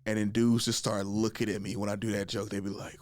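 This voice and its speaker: A man in his thirties hosting a casual chat, telling stories in a joking, slangy way, often laughing and jumping high in pitch when excited. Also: fast, no echo, clean studio sound. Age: 30s. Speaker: podcast host.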